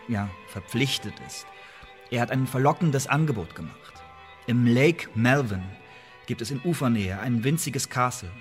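A man acting in a radio play as an articulate, loyal imprisoned English agent and playwright, speaking in measured, formal, persuasive phrases. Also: mid-range voice, natural voice.

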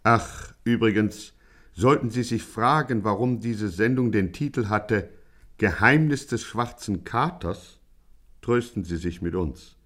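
A man's voice: on the low side, a little slow, a bit bright. A man announcing a radio serial in a theatrical, mock-grandiose scripted style of the 1950s. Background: music.

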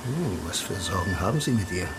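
An actor playing a blind, film-loving loner, narrating a radio play in a dry, wry, laconic manner. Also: male voice, deep voice, lively intonation, fairly quick. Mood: dry, probing. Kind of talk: first-person radio drama narration and dialogue. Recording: loud music behind the voice.

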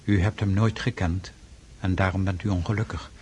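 An actor playing a stern, pious Russian freemason in a radio drama, speaking formally and insistently with a husky voice. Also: male voice, low-pitched, steady tone, darkish tone. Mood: solemn, preaching. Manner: formal, measured, preaching, insistent.

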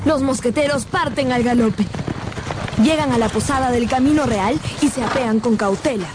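A woman's voice: fairly high pitch, normal speed, noisy background. A woman narrating a radio drama in a scripted, dramatic storytelling style.

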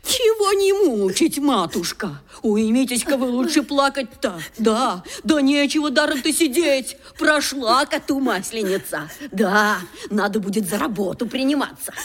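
A woman's voice: high voice, lively intonation, a little slow, few pauses. An actress playing a coarse, uneducated older stepmother in a radio play, scolding with sarcastic, wailing folksy nagging. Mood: scolding, sneering. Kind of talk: Russian radio play acting.

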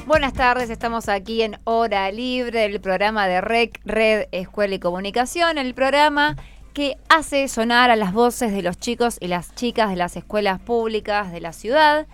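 A woman with a clear, smooth voice and a Buenos Aires accent hosts live radio in a studio. She is encouraging and asks plenty of questions, with faint music behind her. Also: fairly high pitch, normal speed, no room sound. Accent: Argentine, Buenos Aires. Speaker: radio host. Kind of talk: unscripted school radio hosting with children.

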